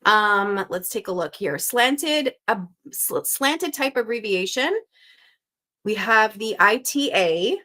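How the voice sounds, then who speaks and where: Bright-voiced, chatty Canadian woman host. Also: medium pitch, expressive, slow. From Canada (Montreal). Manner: upbeat, chatty, thinks out loud. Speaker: host of a live crossword stream. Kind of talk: casual live-stream chat, reading viewer guesses aloud.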